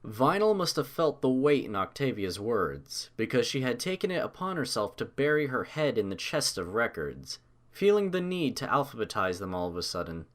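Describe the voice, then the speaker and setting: A man reads a scripted story as its narrator. His voice is medium in pitch and moves up and down in a very animated way. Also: normal speed.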